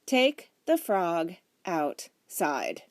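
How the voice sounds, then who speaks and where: Woman acting a stern, exasperated dad, emphatic and loud. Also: on the low side, lively intonation, brisk, lightly acted. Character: stern, exasperated father shouting over his noisy family. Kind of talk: children's audiobook character voice.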